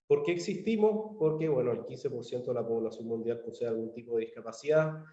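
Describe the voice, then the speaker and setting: A man from Chile with a Chilean accent gives a measured online presentation, pausing on fillers and restarting phrases. His voice sits in a middle range. It comes through a dull, lo-fi video-call line from a roomy space. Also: medium pitch, mellow. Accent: Chilean Spanish. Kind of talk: virtual conference presentation. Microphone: computer mic on a video call.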